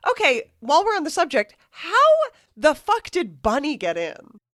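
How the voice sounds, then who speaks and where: A woman's voice: high-pitched, very animated, normal speed, a bit bright, dry recording, clean studio sound. A woman speaking as a podcast guest in a casual discussion of a book.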